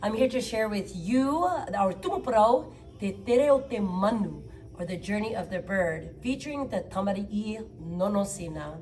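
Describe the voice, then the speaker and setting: A woman's voice: mid-range voice, lively intonation, normal speed, a little mellow. Woman introducing a dance piece, distant. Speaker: dance group leader. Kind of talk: spoken introduction to a performance. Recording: distant mic with faint music.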